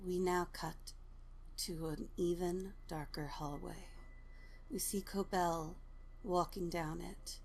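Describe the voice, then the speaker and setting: A woman's voice: lowish voice, steady tone, slow, gravelly, a little mellow, slight background noise. A woman narrating scripted stage directions for a drama.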